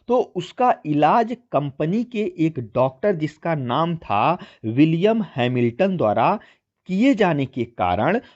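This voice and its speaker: A man's voice: fairly high pitch, darkish tone, muffled recording. A man presenting an exam-prep lesson as a teacher, explaining answers and often posing questions that he then answers himself. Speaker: teacher presenting a history quiz.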